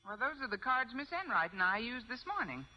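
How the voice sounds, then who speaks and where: Wry schoolteacher, lively old-radio wisecracker. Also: female voice, fairly high pitch, fairly quick. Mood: dry, scheming. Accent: American. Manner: dry, sarcastic wisecracking. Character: wry, quick-witted female high school English teacher. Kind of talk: 1950s American radio sitcom acting. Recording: dull old radio recording, clear noise.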